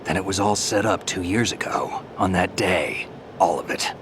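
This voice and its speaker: A gravelly deep old-hand soldier from a video game, deadpan, short answers, world weary, noisy background. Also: male voice, moderate pace. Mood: grave, reflective. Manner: terse, deadpan. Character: grizzled, terse veteran male soldier, cynical and world-weary. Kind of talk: dramatic video game voice acting.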